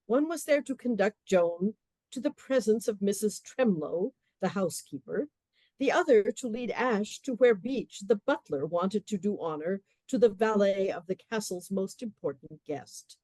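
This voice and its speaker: A woman narrating a comic period radio play with measured, wry storytelling. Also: mid-range voice, lively intonation, a little mellow, no room sound.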